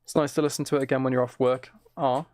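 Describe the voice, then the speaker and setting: A man's voice: mid-range voice, fairly even intonation, fast talker, darkish tone, no room sound. A man chatting casually and teasingly to his viewers while playing a game on a livestream.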